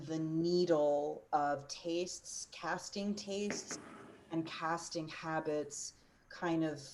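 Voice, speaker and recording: A woman in her forties speaks in a low voice with earnest, emphatic passion. She talks as a panelist over a video call. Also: background noise. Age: 40s. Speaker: opera singer and voice teacher on a panel. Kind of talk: panel discussion.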